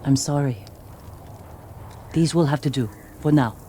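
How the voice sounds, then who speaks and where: An actress playing a tough, loyal outlaw in a dramatic game cutscene, with a blunt, practical, direct way of talking. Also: female voice, a bass voice, a little slow, background noise. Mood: subdued, practical. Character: tough, loyal, plain-spoken outlaw woman, fiercely practical.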